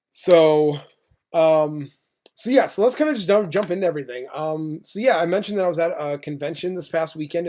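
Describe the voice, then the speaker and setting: A man's voice: on the high side, a little mellow. An American man giving a casual vlog update as an animator, speaking informally in a rambling, hesitant way.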